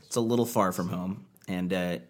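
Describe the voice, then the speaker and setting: A man's voice: low-pitched, fairly even intonation, normal speed. A man hosting a music show from a living room studio, introducing a band and chatting with them easily. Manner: easygoing, chatty, warm greetings and laughs. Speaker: radio show host. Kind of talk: show intro and casual interview.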